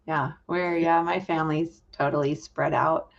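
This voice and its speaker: Low-pitched woman host, dull lo-fi sound. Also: fairly even intonation, a little slow, creaky. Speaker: podcast host. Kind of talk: casual interview hosting.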